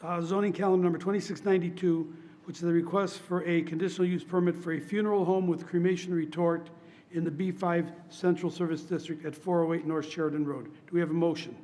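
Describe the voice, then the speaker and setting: A man chairing a public commission meeting, hoarse from laryngitis, running votes in a steady, polite, procedural way. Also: on the high side, fast. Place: council chamber.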